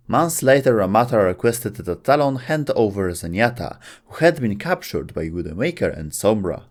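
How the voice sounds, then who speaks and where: A man hosting a video, reading scripted narration about game lore, with some wry delivery. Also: on the low side.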